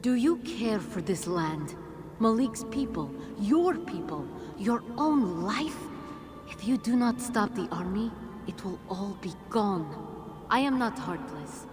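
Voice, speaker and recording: An actress playing a mysterious, wise female guide in a video game cutscene, speaking in a grave, measured, authoritative way. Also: medium pitch, sing-song, music in the background. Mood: firm, urging.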